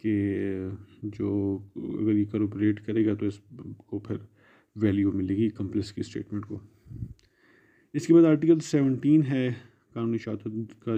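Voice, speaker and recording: A Pakistani man lectures students in a low-pitched, very dark and soft-edged voice. He explains methodically, restating technical terms in simpler words. The audio is somewhat dull and lo-fi. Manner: explanatory, restating English legal phrases in plain terms. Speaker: law lecturer. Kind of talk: exam-prep lecture mixing Urdu and English.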